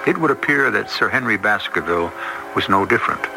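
Low, gravelly radio storyteller, music behind. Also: male voice, expressive, a little fast, poor audio. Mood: grave, ominous. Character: grave storyteller host of a mystery drama. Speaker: narrator. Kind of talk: scripted radio drama narration.

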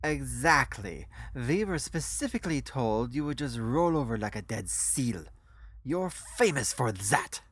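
A narrator voicing a sinister, eccentric male cafe financier with deliberate, theatrical, coldly courteous speech. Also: mid-range voice, animated, breathy edge, dry recording. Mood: cold, menacing.

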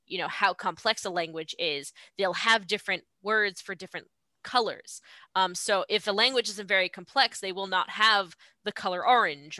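A woman talks through ideas on a podcast as a guest, pausing with "um"s as she works through her explanation. Her voice is bright and forward. The recording is dry and close, with no room sound. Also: medium pitch, no echo. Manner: explanatory, thoughtful, many "um"s. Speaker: podcast guest discussing translation. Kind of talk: casual conversation.

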